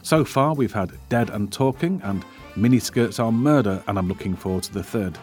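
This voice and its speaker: A man's voice: lowish voice, normal speed, a little mellow. Male podcast host, faint music behind. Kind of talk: casual film chat and scripted outro.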